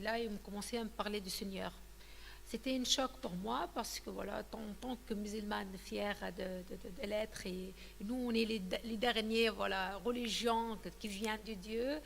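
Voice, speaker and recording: A woman's voice: mid-range voice, steady tone, moderate pace, gravelly, in a large hall, slight background noise. A woman in her thirties from Tunisia, telling her personal testimony in an interview, hesitant and full of fillers and restarts. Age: 30s. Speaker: guest giving a testimony.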